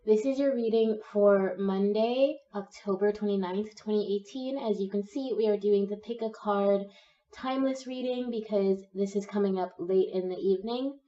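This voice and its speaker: A young woman with a cold and a slightly croaky voice, gently talking through a pick-a-card tarot reading. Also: medium pitch, normal speed, far from the mic, highs cut off. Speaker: tarot reader.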